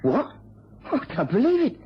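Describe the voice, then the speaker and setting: A man's voice: extremely high pitch, huge pitch swings, normal speed, slight background noise, exaggerated voice. An actor playing an anxious, earnest British policeman in a radio drama, apologetic and agitated. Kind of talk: mid-century radio drama acting. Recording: muffled old radio broadcast.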